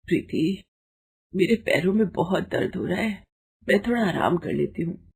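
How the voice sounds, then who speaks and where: A woman plays a tired, kindly older mother-in-law in an audio storybook. Her voice sits lower, husky and mellow, with a subdued, wounded gentleness. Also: expressive, normal speed, full character voice. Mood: weary. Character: weary, hurt older mother-in-law, mild and affectionate.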